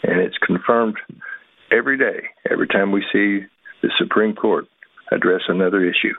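A man's voice: on the low side, normal speed, no room sound. An American man leaving a listener message for a radio show over a phone line. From Texas, United States. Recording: tinny, narrow phone line.

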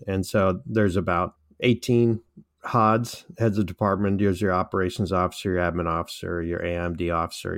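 A middle-aged man co-hosting a podcast, a friendly, upbeat interviewer who laughs easily. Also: deep voice. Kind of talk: casual podcast chat.

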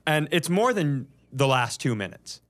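Male comedy co-host, lively swooping delivery, fairly high voice, teasing banter with mock-courtroom insistence. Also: normal speed. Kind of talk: bantering casual chat.

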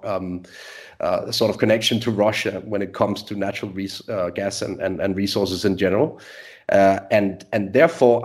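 A man from Denmark with a slightly low voice gives his market views. He hedges with frequent fillers and slips in small chuckles as he talks. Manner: hesitant, many filler sounds, chuckling asides. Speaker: market strategist guest. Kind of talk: conversational market discussion.

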